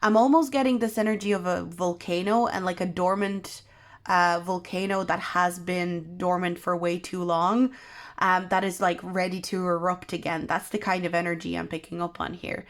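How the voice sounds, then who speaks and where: A woman's voice: on the low side, flat delivery, normal speed. Woman tarot reader who has lost her voice. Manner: soft, meandering, many ums. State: losing her voice, unwell. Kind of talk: pick-a-card tarot reading.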